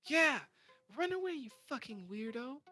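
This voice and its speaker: A man's voice: extremely high pitch, sing-song, takes it slowly, pushed and bright, clean tone, faint music behind, voice pushed up, exaggerated voice. A man voicing a crude, jeering teenage girl.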